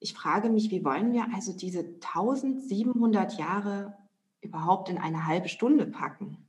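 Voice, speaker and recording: A woman co-hosting an educational podcast, reading measured introductions and questions. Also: mid-range voice. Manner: measured, reads guest introduction. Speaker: podcast co-host. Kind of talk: scripted podcast moderation.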